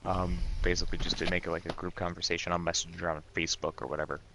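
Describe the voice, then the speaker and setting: A man's voice: deep voice, little pitch movement, racing, vocal fry, sharp tone. A man playing a tabletop roleplaying game, casually describing what his character does, with some background noise.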